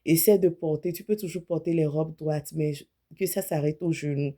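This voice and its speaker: A woman in her late thirties talks to her viewers like a friend, giving clothing advice with easy frankness. Her voice is warm and mellow, and she often stops to rephrase or correct a word as she goes. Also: low-pitched, steady tone, normal speed. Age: 30s. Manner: chatty, self-correcting, speaks frankly about her own body. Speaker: image consultant. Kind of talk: casual talk to camera.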